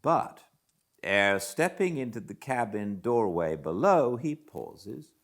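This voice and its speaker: Deep, animated British male narrator. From Britain. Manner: theatrical, deliberate phrasing with dramatic pauses. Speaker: audiobook narrator. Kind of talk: expressive literary audiobook narration.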